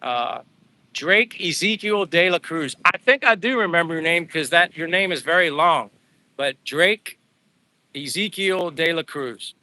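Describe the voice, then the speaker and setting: A man in his fifties from Pennsylvania, rambling in casual live chat and reading out viewer comments, his voice going hoarse from talking. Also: a little high, lively intonation, measured pace, bright, forceful tone. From Pennsylvania, USA. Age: 50s. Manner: rambling, chatty, answers viewers by name. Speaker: livestream vlogger. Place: outdoors on a walk.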